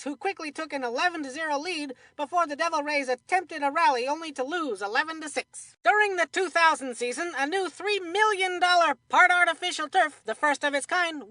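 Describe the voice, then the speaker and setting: Bright, high-pitched folksy baseball commentator kid. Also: female voice, no room sound. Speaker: sports commentator. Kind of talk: scripted video game baseball commentary.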